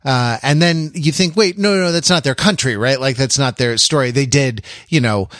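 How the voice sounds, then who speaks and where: A man's voice: mid-range voice, moderate pace, fairly bright tone, no echo, studio quality. A man co-hosting a podcast, chatting casually in a wry, digressive way as he thinks aloud. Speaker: podcast co-host. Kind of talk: casual pop-culture discussion.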